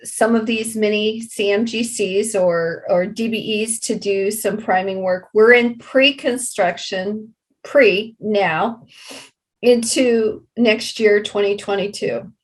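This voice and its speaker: A woman explaining things in an interview as a project representative, in a deliberate and measured manner. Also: medium pitch, fairly even intonation.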